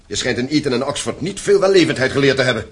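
A man's voice: mid-range voice, animated, fast talker, a little hiss or hum, lightly acted. An actor playing a stern, domineering landowning father in a radio play, commanding and formal. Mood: angry, commanding.

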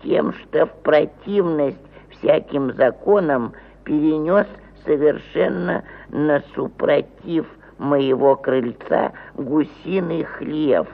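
A man's voice: a little high, slow, creaky, heavily acted. An actor playing a pompous, aggrieved provincial landowner who ponderously reads out his petition in a theatrical radio play style. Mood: indignant, pompous. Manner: pompous, ponderous, with stumbles over words. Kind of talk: theatrical mid-century radio play acting. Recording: phone-like narrow-band old 1950 radio recording.

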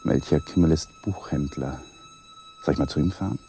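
Brooding husband in a radio play. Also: male voice, extremely high pitch, huge pitch swings, normal speed, warm tone, exaggerated voice. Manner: brooding inner monologue, restrained. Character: uneasy, suspicious husband. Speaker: husband, Walter. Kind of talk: 1980s German radio play acting.

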